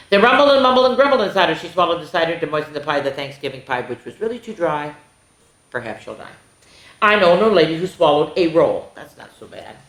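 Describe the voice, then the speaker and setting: A woman hosting a children's story time, reading a picture book aloud expressively, with exclamations and asides to the young listeners. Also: low voice. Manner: expressive, exclaiming, pausing to comment and ask the listeners. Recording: echoey room.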